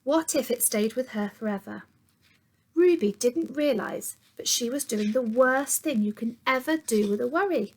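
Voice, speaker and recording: A woman reading a picture book aloud like a teacher to young children, expressive, sometimes dropping to hushed tones and putting questions to her listeners. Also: fairly high pitch, normal speed. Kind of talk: children's storytime read-aloud.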